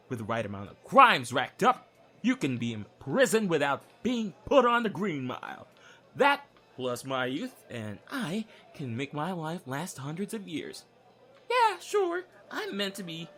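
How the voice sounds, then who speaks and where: A man's voice: high voice, huge pitch swings, a little slow, sharp tone, soft background music, heavily acted. A man playing a cocky, crude young prisoner in an audio drama sketch, with a brash, theatrical, sarcastic manner. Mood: smug, theatrical.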